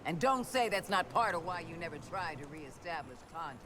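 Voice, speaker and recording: An actor playing an exasperated teammate in a video game, with a dry, needling manner. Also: male voice, a little high, animated, brisk, crowd noise, lightly acted. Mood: wry, concerned. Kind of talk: video game character dialogue.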